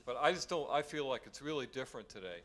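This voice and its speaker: A middle-aged man talks conversationally on a discussion panel and readily pushes back on others. His voice has a mid-range pitch. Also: lively intonation, fast talker, fairly bright tone. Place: hotel conference room. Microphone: table mic on a stand.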